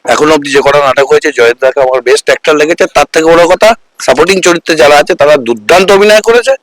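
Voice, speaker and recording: A man's voice: on the high side, racing, no room sound, poor audio. A man giving an enthusiastic audience reaction in a casual interview. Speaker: audience member. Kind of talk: enthusiastic vox-pop reaction.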